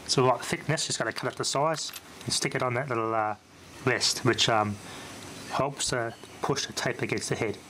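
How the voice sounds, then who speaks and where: A man's voice: mid-range voice, moderate pace, sharp tone, a little hiss or hum. A man casually talking through a cassette repair at home, thinking aloud with fillers. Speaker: vintage tape hobbyist. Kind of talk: casual hands-on commentary. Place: home workshop indoors.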